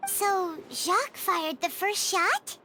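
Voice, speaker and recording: A woman voicing a tiny, curious, eager childlike companion creature in a video game, with a squeaky, bubbly delivery. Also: expressive, slow, background noise, heavily acted. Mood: curious, pondering. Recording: game music behind the voice.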